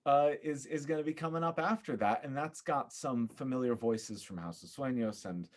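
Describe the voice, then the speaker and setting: A man speaking as a podcast guest in a casual interview, earnest and effusive, often pausing to think. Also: medium pitch. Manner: earnest, effusive, halting with thoughtful pauses. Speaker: podcast guest, theater performer.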